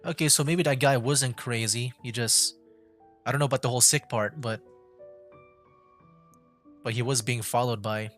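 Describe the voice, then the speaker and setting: Halting, casual male game streamer. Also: medium pitch, a bit bright, faint music behind. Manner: halting, musing. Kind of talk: casual livestream commentary.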